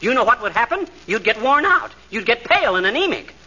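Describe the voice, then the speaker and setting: A high-voiced, husky and very animated middle-aged wisecracker in a 1940s radio comedy. He is cocky and folksy, full of slangy bluster. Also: fast talker, full character voice. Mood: exasperated explaining. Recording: dull 1940s radio recording.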